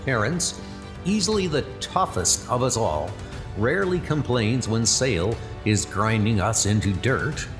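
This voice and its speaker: An actor voicing an earnest, dutiful young secret service trainee, narrating his letters in a measured, formal way. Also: medium pitch, animated, over music, lightly acted. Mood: earnest, reflective. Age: young adult. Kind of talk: letter read as narration in a comic dub.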